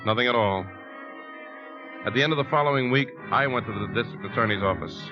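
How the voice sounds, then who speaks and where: An actor playing a worried, determined uncle who also narrates, in a 1950s radio drama style. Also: male voice, mid-range voice, fairly quick, close to an everyday voice. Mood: grave narration. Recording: muffled 1950s radio recording with music.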